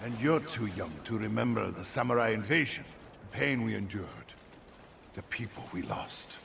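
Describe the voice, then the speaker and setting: An actor playing a bitter, defiant older islander who tells stories in a dramatic, emphatic way in a video game. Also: male voice, on the low side, expressive, moderate pace, vocal fry, background noise. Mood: grim. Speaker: village storyteller.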